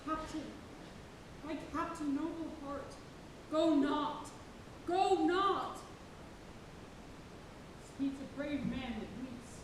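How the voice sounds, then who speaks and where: Woman playing high, imploring loyal sailor. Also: slow, pauses often. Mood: pleading. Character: loyal, tearful sailor pleading with his captain. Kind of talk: theatrical stage acting of a literary tragedy. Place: stage of a college auditorium. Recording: distant, echoey auditorium with rumbling noise.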